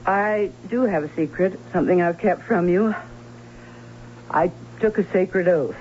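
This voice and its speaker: An actress playing a fearful, anxious aunt in an old radio drama, speaking in a halting, dramatic way. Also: female voice, lowish voice, a little mellow, lightly acted. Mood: nervous, urgent. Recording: muffled, hissy old radio broadcast.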